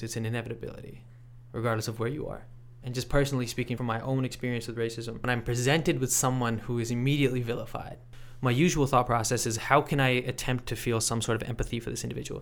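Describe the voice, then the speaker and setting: A man giving reflective interview commentary as a podcast guest, in a measured, thoughtful and halting way. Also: mid-range voice. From Canada. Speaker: podcast guest, sports reporter.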